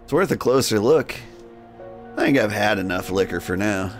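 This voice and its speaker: A man hosting a game livestream, switching between jokey, rambling chat and reading game text aloud in a gravelly voice. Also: fairly low pitch, expressive, moderate pace. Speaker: game streamer and host. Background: music.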